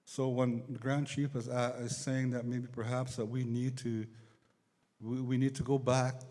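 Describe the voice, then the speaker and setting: Guy giving a speech at an assembly, soft dark voice, repeats himself a bit, big echoey hall. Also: medium pitch, level tone, a little slow. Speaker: assembly speaker giving testimony. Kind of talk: unscripted public address. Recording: echoey large hall over PA.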